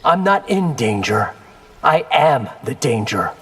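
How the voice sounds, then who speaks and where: An actor playing a cocky, determined young warrior in a video game, casual and wry in delivery. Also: male voice, medium pitch, very animated, takes it slowly, a bit bright, slightly rough, full character voice. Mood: confident. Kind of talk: video game character dialogue.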